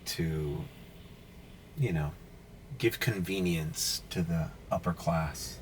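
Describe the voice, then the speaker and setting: Young rideshare driver, groggy early-morning vlog voice, animated and profane, in a car with faint road noise. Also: male voice, low-pitched, slow pace, poor audio. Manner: swears casually, animated storytelling. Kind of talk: casual driving vlog. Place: inside a car.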